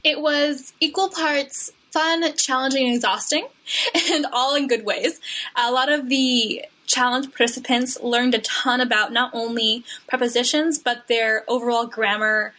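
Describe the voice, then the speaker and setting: A woman co-hosts a podcast in a chatty, enthusiastic way. Her voice is bright and a little high. She drops Italian words into casual English talk. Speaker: podcast co-host.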